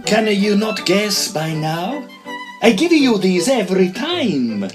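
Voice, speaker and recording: A man's voice: high voice, very animated, slow, over music, full character voice. An actor playing a vain, romantic male Italian opera singer in a period farce, declaiming in a florid, boastful way. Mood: ardent. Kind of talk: period audio drama farce.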